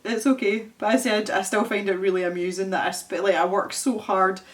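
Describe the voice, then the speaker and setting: A woman's voice: mid-range voice, fairly even intonation, fairly quick. A young Scottish woman chatting casually as she paints, thinking aloud and poking fun at herself. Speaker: art channel host. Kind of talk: casual chat while painting.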